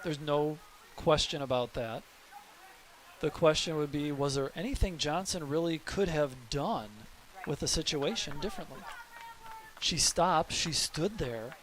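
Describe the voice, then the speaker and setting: A man doing live sports commentary, deliberate and questioning as he works through the play. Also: a little high, expressive, fairly bright tone, low-quality recording. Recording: live sports broadcast with music behind.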